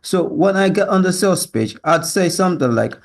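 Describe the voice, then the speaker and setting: A Yoruba-speaking Nigerian man with a learned British accent, pitching himself as a salesman in an assertive monologue, often tagging statements with a questioning yeah or right. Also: expressive, a little fast, gravelly. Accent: learned British with Nigerian influence. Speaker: sales closer, job applicant. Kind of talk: self-presentation monologue to camera.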